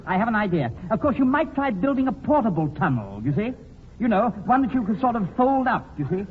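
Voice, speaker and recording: An actor playing a pompous, fussy, earnestly absurd gentleman in a 1940s radio comedy. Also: high-pitched, big pitch swings, a little fast. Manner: pompous, repetitive, old-boy politeness. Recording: tinny, noisy old radio recording.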